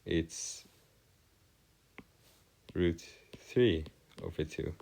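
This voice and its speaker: A man with a low voice teaches math at a slow pace. His intonation swings widely as he goes. He speaks in formal, measured phrases and pauses deliberately while explaining each step. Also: husky, low-quality recording. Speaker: math tutor. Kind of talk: explanatory math lesson over worked diagrams.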